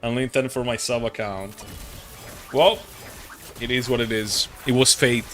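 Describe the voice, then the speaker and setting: A man's voice: mid-range voice, steady tone, moderate pace, fairly bright tone, faint music behind. A young man livestreaming a game, chatting casually with viewers in a slangy, profane, jokey way. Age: young adult. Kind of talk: casual stream chat and game reactions.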